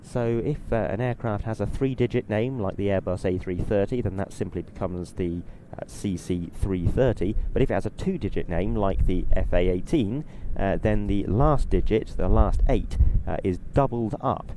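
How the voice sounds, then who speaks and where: A man with a slightly husky, mellow voice presents live airshow coverage. He explains things in a measured, informative manner, a little short on sleep, with airfield noise behind him. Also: lowish voice. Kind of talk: live broadcast commentary, explanatory and ad-libbed.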